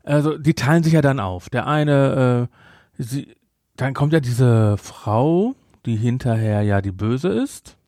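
A man's voice: mid-range voice, lively intonation, a little slow, darkish tone, no echo. Sarcastic, nitpicking man in a dry recording. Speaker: podcast co-host. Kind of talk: casual review chat about an audio drama.

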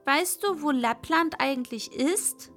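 A woman's voice: high-pitched, a little slow, a bit bright, soft background music, pitched above the performer's own voice. A woman voicing a wild, bossy robber girl in a read-aloud fairy tale, brash and teasing.

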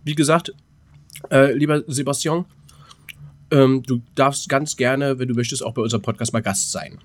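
A man co-hosts a podcast in a medium-pitched voice. He talks in loose, colloquial banter. His delivery is joking, with mock-formal asides thrown in. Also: fairly even intonation, moderate pace. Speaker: podcast co-host. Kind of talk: casual comedic banter.

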